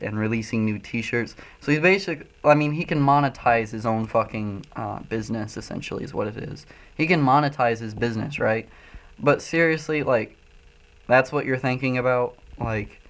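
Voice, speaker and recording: A man from Illinois with a Midwestern accent, loosely and profanely talking through chat comments on a livestream while high. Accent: Midwestern American. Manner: loose, profane, frequent ums and uhs. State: high, coughing from smoking. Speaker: streamer reading chat.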